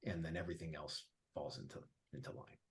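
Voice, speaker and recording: Deep-voiced man chatting casually. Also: quick pace. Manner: relaxed, emphatic, laughs easily. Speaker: featured guest, app founder. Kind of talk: casual conversational interview.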